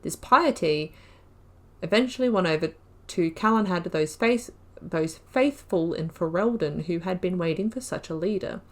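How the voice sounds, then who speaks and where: This is a woman with a slightly low voice who hosts a game playthrough. She reads lore entries aloud. Between entries she chats in a casual way, and her sentences often trail off and restart. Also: expressive, normal speed. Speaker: gaming video host.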